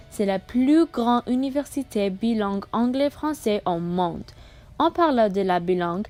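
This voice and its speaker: A woman's voice: medium pitch, darkish tone. A young woman narrating a school-project video tour in a careful, read-aloud manner. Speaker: student presenting a school project. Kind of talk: scripted voice-over narration.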